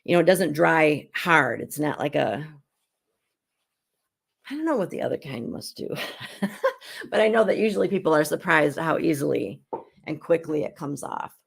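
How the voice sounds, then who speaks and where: A middle-aged woman who is hoarse while getting over an illness, chatting casually as she hosts a live skincare demonstration at home. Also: low-pitched, very animated, normal speed, pauses often. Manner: chatty and rambling, with frequent restarts. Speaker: beauty consultant and show host.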